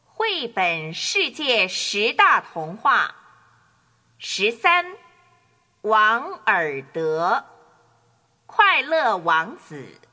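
Female storybook narrator, unhurried, lively intonation, scripted children's tale, clear background music. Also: medium pitch. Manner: expressive, deliberate.

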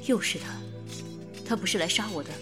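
Woman doing reserved young scholar guy, lowish voice, cool n polite, ancient china radio play, loud music. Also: brisk, close to an everyday voice. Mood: restrained, troubled. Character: reserved, bookish young male state preceptor, polite and aloof. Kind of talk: Chinese period audio drama acting.

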